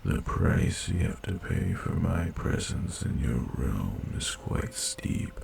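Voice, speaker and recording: A man voicing an ancient, haughty and seductive male dragon in a fantasy romance audio roleplay, speaking formally in a breathy, gravelly murmur with teasing condescension. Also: low-pitched, lively intonation, takes it slowly, a little mellow, full character voice. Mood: haughty, probing.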